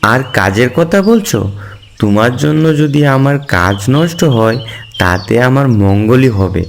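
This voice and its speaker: Man acting an earnest son, mid-pitched voice. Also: expressive, slow pace, vocal fry, faint music behind. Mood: earnest, devoted. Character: devoted, earnest adult son and husband. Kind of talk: animated folk tale voice acting. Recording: slight background noise.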